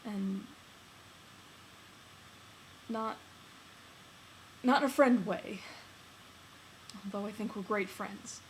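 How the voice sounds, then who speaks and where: A woman's voice: medium pitch, poor audio. Woman acting an earnest lovesick friend confessing, faint noise. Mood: earnest confession. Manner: earnest, halting, emphatic delivery with swells in volume. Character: lovesick man confessing love to his friend. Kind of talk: dramatic film monologue performed on stage. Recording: noticeable background noise.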